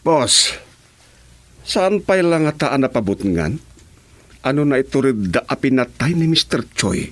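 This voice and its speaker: A man's voice: mid-range voice, sing-song, measured pace. An actor playing a jumpy, eager henchman who defers to his boss in a radio serial drama. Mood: uneasy, eager.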